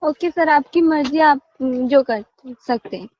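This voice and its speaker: A woman's voice: sits high, a little slow. A woman customer care agent on a phone call, polite and businesslike. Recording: muffled phone call recording.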